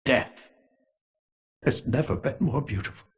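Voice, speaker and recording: An actor voicing a sinister, aloof conspirator in a video game, savoring his words theatrically in a husky, raspy voice. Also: medium pitch, animated, unhurried, a little hiss or hum. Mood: reverent gloating. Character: sinister, aloof conspirator gloating over a bioweapon pact. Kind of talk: scripted video game villain monologue.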